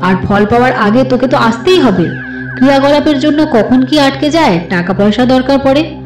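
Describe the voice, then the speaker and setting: A woman's voice: high-pitched, expressive, normal speed. A woman voicing a sinister, greedy, skeletal occult holy man in a suspense audio story, commanding and knowing. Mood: knowing, demanding. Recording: slightly roomy, with music behind.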